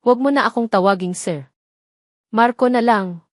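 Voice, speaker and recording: A woman's voice: fairly high pitch, normal speed, no room sound, studio quality, natural voice. A woman voicing a serious, protective young male estate owner with a reassuring manner in an audio story.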